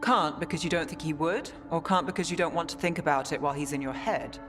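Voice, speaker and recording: A woman acts a shrewd fantasy game investigator. She is direct and probing. Long reverb trails her voice and music plays clearly behind it. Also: low voice, fast, lightly acted. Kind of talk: video game cutscene acting. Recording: hall reverb, music underneath.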